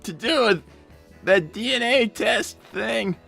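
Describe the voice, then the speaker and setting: A man's voice: sits high, lively intonation, takes it slowly, creaky, sharp tone, soft background music, full character voice. A man voicing an earnest, easily flustered young cartoon fox inventor who stammers and repeats words in improvised live roleplay. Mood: defensive, flustered.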